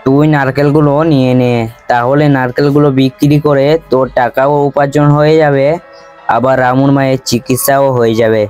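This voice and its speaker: A man voicing a scheming, falsely sweet village elder brother in an animated folk tale, talking persuasively. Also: medium pitch, brisk, clear, resonant tone, soft background music, close to an everyday voice. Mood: coaxing. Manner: persuasive, coaxing.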